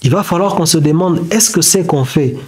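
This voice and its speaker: A man whose first language is not French gives a reflective motivational talk, halting and searching for words with many restarts. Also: on the high side, a little mellow, breathy edge. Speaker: self-development video creator. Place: indoors beside a whiteboard diagram.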